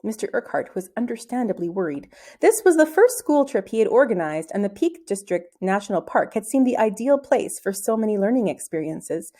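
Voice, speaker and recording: Woman, storybook reader, expressive narration, mid-pitched, animated intonation. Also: brisk, hardly any pauses. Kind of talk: expressive read-aloud narration of a children's novel.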